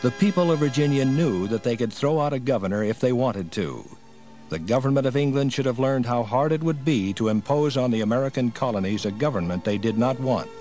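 Casting: male narrator for dramatized history, medium pitch, light music underneath. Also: animated, a little fast, darkish tone.

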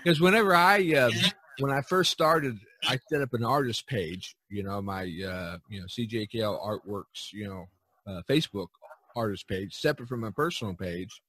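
A man hosting a casual chat, telling anecdotes in a rambling, halting way. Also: fairly low pitch, fairly bright tone, slightly rough. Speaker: podcast host.

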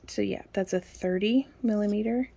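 A woman's voice: medium pitch, normal speed, creaky, mellow. A woman, chatting casually as a craft shop owner while showing her products at her home workspace, rambling and laughing often. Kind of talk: casual product show-and-tell.

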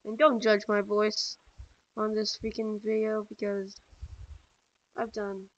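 Flat, girlish-sounding male gamer. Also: high voice, slow pace, no room sound, highs cut off. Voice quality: voice he says sounds girly. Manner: chatty, offhand asides to viewers. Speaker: co-host of a gameplay video. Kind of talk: casual gameplay commentary.

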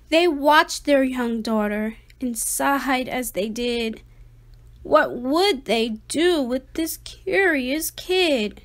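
Female storybook reader, high register, slow and expressive delivery, children's rhyming read-aloud. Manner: dramatic, deliberate phrasing.

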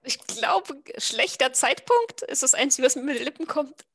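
A woman's voice: high-pitched, lively intonation, moderate pace, a bit bright. A woman playing a tabletop role-playing game over a video call, casually describing what her character does. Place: room at home, online video call.

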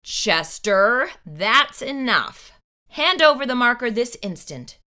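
A middle-aged woman hosting children's storytime, reading a picture book aloud in a theatrical, deliberate, emphatic way. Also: fairly high pitch, very animated, bright, forceful tone. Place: small indoor room with bookshelves.

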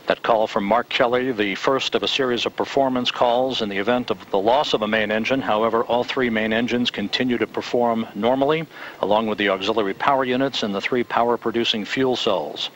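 A man giving live, measured launch commentary in a control-center broadcast, stating altitude, speed and timing. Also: mid-range voice. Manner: steady, measured, detail-heavy. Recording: noisy broadcast audio with rocket roar.

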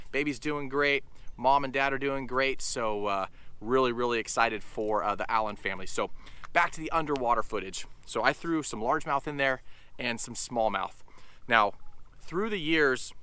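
A man's voice: medium pitch, sharp tone, clear, resonant tone, no echo. A man in his thirties hosting a fishing channel, talking casually and upbeat to camera outdoors by a lake, addressing viewers as you guys. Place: outdoors on a sunny lakeshore.

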